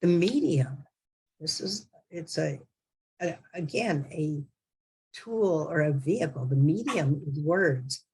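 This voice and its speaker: A woman hosting a talk show, reading a measured introduction before handing over to her guest. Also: sits low, expressive, mellow, a little hiss or hum, rough recording. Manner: measured, then laughing and quick at the handover. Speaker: host. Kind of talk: scripted introduction then casual handover.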